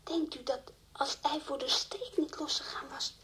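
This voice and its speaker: An actress playing a naive, excitable little child in a radio drama. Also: female voice, high voice, moderate pace. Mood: excited, naive. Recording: faint background noise.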